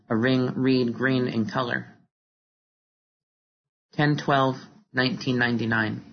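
Poet reading with little pitch variation. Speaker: poet reading own work. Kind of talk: literary poetry reading. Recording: dull recording with faint noise.

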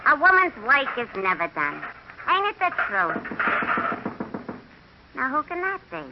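A woman's voice: high voice, huge pitch swings, measured pace, exaggerated voice. A woman playing a folksy, frowzy older American woman in an old radio drama, with drawling, ungrammatical speech. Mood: mournful. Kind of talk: 1940s radio acting. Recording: noisy, muffled old radio recording.

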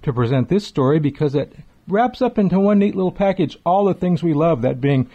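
A man's voice: on the high side, lively intonation, brisk, warm tone. A middle-aged or older man hosting a retro radio talk show, speaking in a chatty, wordy, enthusiastic way with emphatic repetition. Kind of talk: enthusiastic conversational show introduction. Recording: dull, lo-fi sound.

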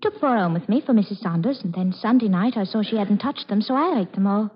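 A woman's voice: mid-range voice, animated, fast, slightly rough. An actress playing a plain-spoken, frightened young woman in a 1940s radio drama. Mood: fearful, meek. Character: young working-class woman, frightened, defiant, simple-hearted. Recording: dull old radio broadcast, long reverb.

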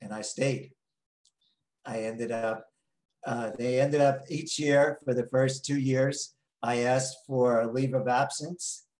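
A man in his late sixties with a New York accent, telling his story slowly as an interview guest, pausing on many ums. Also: medium pitch, steady tone, darkish tone, reverberant, poor audio. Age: 60s. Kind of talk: interview answers.

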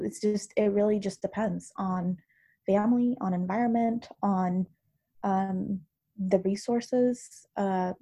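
A woman's voice: medium pitch, gravelly, mellow. A woman who is a counselor and university professor, explaining at length in a thoughtful, teacherly way during a casual podcast discussion.

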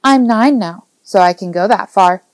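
A woman voicing an eager, proud nine-year-old boy in an audiobook, earnest and excitable. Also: mid-range voice, normal speed, no room sound, natural voice. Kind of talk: audiobook character dialogue.

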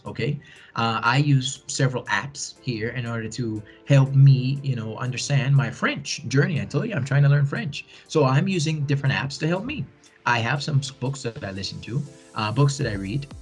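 A man's voice: medium pitch, expressive, a little fast, faint music behind. Earnest man, encouraging, repeating for emphasis. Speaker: podcast guest, bilingual educator. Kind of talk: conversational interview answers.

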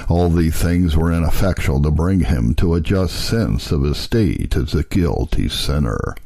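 A man reading old historical texts aloud as a narrator, in a solemn, measured, formal way. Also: rumbling low, lively intonation, mellow, dry recording, clean studio sound.

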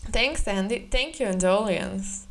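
A young woman co-hosts a podcast in a relaxed, slow way of talking, with a voice of ordinary pitch. She giggles often and teases her co-host. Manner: giggly, teasing. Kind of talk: casual chat.

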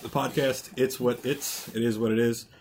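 A man's voice: medium pitch, steady tone, moderate pace, gravelly, a little mellow, a little hiss or hum, rough recording. A man in his twenties co-hosting a casual podcast, joking and laid-back, swearing now and then. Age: 20s. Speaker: podcast co-host. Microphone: podcast mic.

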